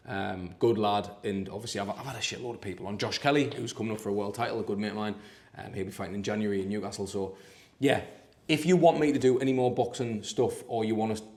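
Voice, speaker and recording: A man with a Sunderland (Mackem) accent giving an excitable, swear-laden vlog rundown from a hotel room as a podcast host, leaning on "obviously". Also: fairly low pitch. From Sunderland, England. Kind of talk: casual vlog commentary.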